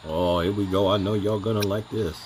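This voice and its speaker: A man's voice: lowish voice, fairly even intonation, brisk, over loud music. A man casually reacting to game voice lines with laid-back, offhand comments. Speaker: reaction video host.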